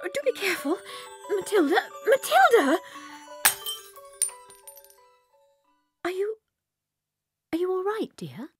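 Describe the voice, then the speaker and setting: An actress playing a gentle, kindly young schoolteacher with an English accent in a dramatized children's audiobook. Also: female voice, very high voice, big pitch swings, normal speed, faint music behind. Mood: amazed, alarmed.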